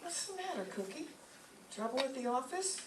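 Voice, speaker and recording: A woman's voice: sits high, big pitch swings, moderate pace. A woman playing a wry, long-suffering, sensible young roommate in an old-time radio comedy. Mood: concerned. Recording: noisy, echoey stage recording from far off.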